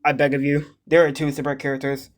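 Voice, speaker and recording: A man's voice: on the high side, flat delivery, fast talker. Male reaction host, casual and joking, slangy delivery with frequent exclamations.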